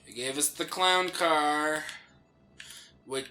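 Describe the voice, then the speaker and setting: A man's voice: fairly high pitch, takes it slowly, bright, forceful tone, a little hiss or hum. A man giving casual, playful, slangy commentary while he plays a video game with a friend. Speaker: co-host playing a video game.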